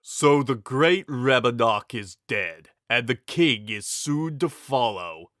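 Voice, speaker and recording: A hulking villain boss performed by a male reader gloats slowly and boastfully. The delivery is mocking and forceful, in a dry fantasy audiobook recording. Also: mid-range voice, lively intonation, no room sound. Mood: gloating. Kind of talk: dramatic fantasy audiobook reading.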